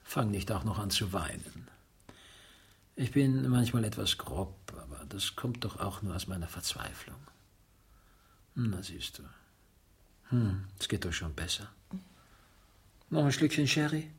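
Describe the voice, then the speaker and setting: An actor playing a world-weary middle-aged widower in a radio drama, husky, blunt and sardonic, suspicious yet gruffly consoling. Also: male voice, on the low side, very animated, unhurried, pauses often, full character voice. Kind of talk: German radio drama acting, naturalistic dialogue.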